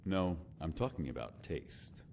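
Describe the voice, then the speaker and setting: Man playing a very deep, gravelly baritone bard. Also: sing-song, measured pace, a little mellow, slight background noise, heavily acted. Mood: musing. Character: genial, reflective baritone bard, drummer turned poet. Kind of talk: scripted fantasy game dialogue.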